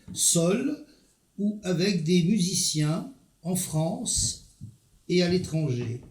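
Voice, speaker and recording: A presenter reads a poet's introduction in a measured, literary manner, dwelling on each phrase with great slowness. His voice sits high for a man, and the hall around him rings with echo. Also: a little mellow. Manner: measured, deliberate, literary delivery. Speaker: event presenter. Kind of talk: formal read-aloud introduction. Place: performance venue. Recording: echoey hall.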